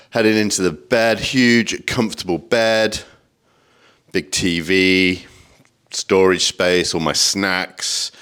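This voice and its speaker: A man narrating a casual vlog to camera, his voice croaky and hoarse from days of talking, in a laid-back, informal way. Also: low-pitched, fairly bright tone. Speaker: vlogger and convention guest speaker. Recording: clear background noise.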